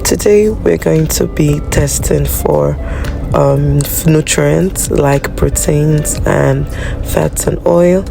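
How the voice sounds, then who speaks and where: A biology teacher, a woman with a Nigerian accent, hoarse and raspy from a flu, narrating a lab practical in a chatty, apologetic way. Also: low voice. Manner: chatty and apologetic, with many fillers. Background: background music.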